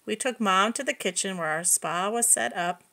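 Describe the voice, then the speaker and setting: A woman reading a picture book aloud to young children, expressive and explaining things simply. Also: medium pitch, moderate pace, creaky. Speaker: preschool story reader.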